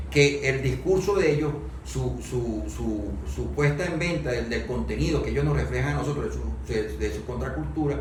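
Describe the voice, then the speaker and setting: A Venezuelan man in a political discussion, speaking haltingly and often repeating words as he works toward his point. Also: mid-range voice, darkish tone. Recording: very echoey room, distant mic, faint noise.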